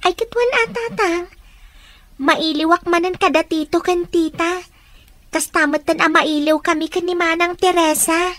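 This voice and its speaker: A woman's voice: extremely high pitch, a little slow, a bit bright, no echo. A woman with an Ilocano accent playing a dutiful, studious young daughter in a radio drama, speaking respectfully and earnestly. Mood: friendly, eager.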